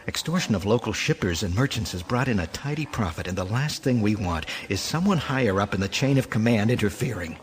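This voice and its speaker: A man playing a cold, corrupt and scheming military colonel, crisp and authoritative, in an audio drama. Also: fairly low pitch, animated, fairly quick, hardly any pauses, a crowd in the background, lightly acted. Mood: scheming command.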